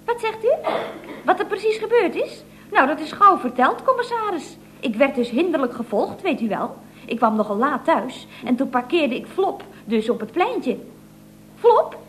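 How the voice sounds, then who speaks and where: A feisty, talkative secretary in an old radio comedy. Her voice is high and swoops up and down in a sing-song chatter. The old recording carries faint noise. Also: big pitch swings, moderate pace. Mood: excitedly explaining. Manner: chatty, sing-song, full of tag questions. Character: chatty, indignant single female secretary, feisty and quick-tempered. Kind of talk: 1970s radio comedy acting.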